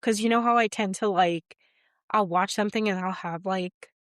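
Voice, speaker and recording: A woman's voice: mid-range voice, normal speed, no echo, studio quality. Rambling woman podcast co-host. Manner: rambling, hesitant, laughs often. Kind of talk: casual chat.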